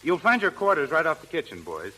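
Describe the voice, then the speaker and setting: Courteous, sharp-toned gentleman. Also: a little high, huge pitch swings, fairly quick, exaggerated voice, rough recording. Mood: genial, courteous. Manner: polite, genteel. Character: courteous male householder named Clint. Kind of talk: 1930s radio drama acting. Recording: vintage 1930s radio recording.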